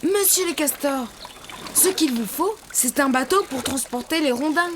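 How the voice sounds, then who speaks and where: An actor voicing a kind, helpful young cartoon character who calmly teaches children how to draw. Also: high voice, moderate pace, noisy background. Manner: calm, instructive, encouraging. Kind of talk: children's cartoon dialogue and drawing tutorial. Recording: loud music underneath.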